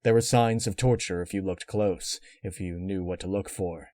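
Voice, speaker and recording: Guy reading a grimdark story, lowish voice, measured dramatic narration, dry recording. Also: fairly even intonation, a little mellow, dry recording. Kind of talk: scripted dark fan-fiction narration.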